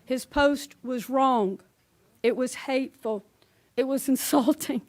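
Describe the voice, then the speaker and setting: High-voiced woman, indignant public comment. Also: a little mellow. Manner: indignant, measured, declarative. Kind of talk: public comment speech at a board meeting. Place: public meeting room.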